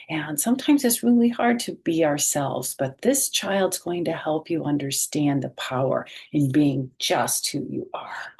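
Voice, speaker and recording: Slightly husky woman reading aloud to children. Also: deep voice. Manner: gentle, expressive, addressing young listeners directly. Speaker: storytime host reading a picture book. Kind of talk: children's read-aloud with explanatory asides.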